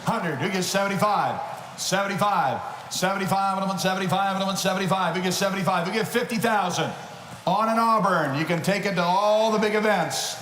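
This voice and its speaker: A man working as an auctioneer, calling bids in a rapid rhythmic chant and shouting the numbers. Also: sits high. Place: large auction hall. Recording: noisy reverberant hall.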